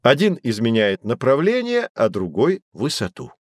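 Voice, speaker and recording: An actor playing a knowledgeable man who guides children through an educational audio drama, explaining things in a clear didactic way. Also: mid-range voice, wildly swooping pitch, moderate pace, no echo, clean studio sound. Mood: patient, explanatory. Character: knowledgeable male teacher guiding children on adventures. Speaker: guide explaining history and technology.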